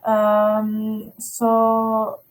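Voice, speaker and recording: Woman relaying questions, halting. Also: fairly high pitch, monotone, rough recording. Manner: halting, filler-heavy. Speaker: webinar organizer relaying questions. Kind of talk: webinar Q&A.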